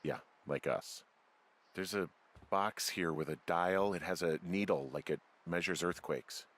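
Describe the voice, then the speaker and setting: Low-voiced, wary American man. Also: a bit bright. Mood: wary, observant. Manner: halting, conversational, swearing under stress. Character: wary, rattled American man, a park fire lookout. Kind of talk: naturalistic video game voice acting. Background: background music.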